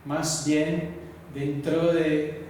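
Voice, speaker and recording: A man lecturing to a class, his voice a little high for a man, pausing to check understanding with short tag questions. He is heard from a distance in a large, echoey room with a long reverb and a faint hiss in the background. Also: little pitch movement, a little mellow. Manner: explanatory, frequent "¿no?" tag questions. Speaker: university lecturer. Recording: echoey hall, voice from far off.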